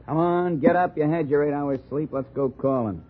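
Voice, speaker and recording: A man's voice: a little high, normal speed, faint music behind, lightly acted. A man playing a hard, sarcastic police sergeant bullying a suspect in a 1950s radio drama. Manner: brusque, needling, sarcastic. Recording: muffled, faintly noisy old radio recording.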